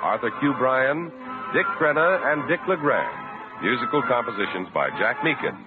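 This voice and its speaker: A man's voice: medium pitch, expressive, moderate pace. An American man announcing in a polished, emphatic 1950s radio sponsor style. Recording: muffled old radio broadcast, music behind.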